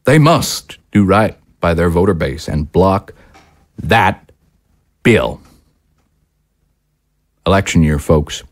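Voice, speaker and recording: A man plays a fiery right-wing radio pundit in an audio drama. His voice sits on the low side and swings widely in pitch. He orates indignantly, leaning hard on key words and pausing for drama. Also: lively intonation, exaggerated voice. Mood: indignant, urgent. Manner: indignant, emphatic oratory with dramatic pauses. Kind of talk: scripted political broadcast monologue.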